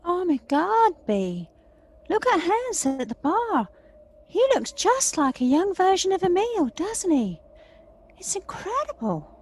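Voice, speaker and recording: A woman's voice: very high voice, big pitch swings, slow, a little mellow, full character voice. A woman playing a jealous, wounded and accusing sister in an audio play, dramatic and confrontational. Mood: amazed.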